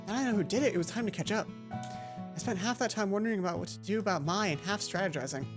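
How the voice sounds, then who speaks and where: A woman let's play host reading a visual novel aloud in an expressive storytelling way, with casual asides. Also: medium pitch, fast. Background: game music.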